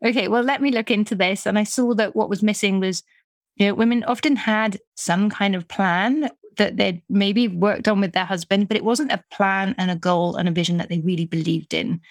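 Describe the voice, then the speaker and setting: A woman's voice: medium pitch, moderate pace, dry recording, studio quality. A middle-aged British woman from the UK, a business coach talking casually in an interview, chatty with frequent restarts. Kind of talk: conversational interview.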